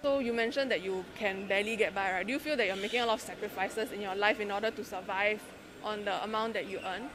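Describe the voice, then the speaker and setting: A woman interviewing people on the street, asking clear, inquisitive questions. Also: mid-range voice, fairly even intonation, fast talker. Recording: clear background noise outdoors.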